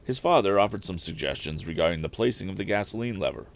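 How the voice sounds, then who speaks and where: A man reading an old adventure novel aloud as a storyteller on a live stream, in an expressive audiobook style. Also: sits low, quick pace, creaky.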